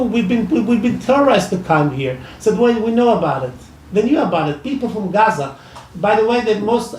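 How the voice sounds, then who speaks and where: Gravelly man with an Iraqi accent, echoey hall. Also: high-pitched, a little mellow, slight background noise. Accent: Iraqi Arabic. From Iraq. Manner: halting, many restarts and fillers. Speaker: speaker at a public discussion. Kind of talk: personal testimony at a talk. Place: library event room. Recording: echoey hall, mic from far off.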